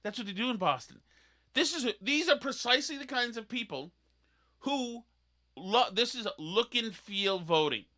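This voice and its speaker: A man hosting a podcast, ranting in an animated, sarcastic, mocking way. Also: extremely high pitch, moderate pace. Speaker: podcast host. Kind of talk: opinionated podcast rant.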